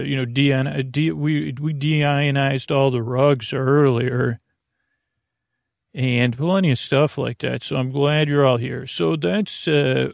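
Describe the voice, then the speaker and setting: A man hosting a bedtime podcast in a rambling, halting monologue, whimsical and gently reassuring, often repeating himself and trailing off. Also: mid-range voice, vocal fry, no room sound, clean studio sound. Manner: meandering, halting, repeats and trails off mid-sentence. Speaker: podcast host.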